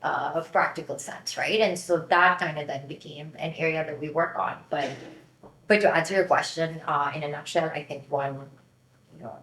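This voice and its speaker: A woman's voice: deep voice, steady tone, normal speed, fairly bright tone, roomy sound, rough recording. A Pakistani woman in her thirties, a company founder speaking as a podcast guest, candid and direct, often adding "um" and "right?". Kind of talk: conversational podcast interview.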